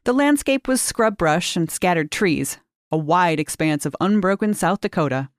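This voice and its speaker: A woman with a slightly low voice narrates a short story in a measured, expressive way. She lifts key words for emphasis. The recording is close and dry, with no room sound. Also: dry recording. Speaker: reader of short fiction.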